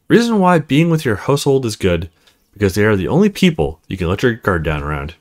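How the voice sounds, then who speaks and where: A man voicing a cool, confiding married female neighbor from a visual novel, reading her dialogue expressively and in measured phrases. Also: on the low side, wildly swooping pitch, a little mellow.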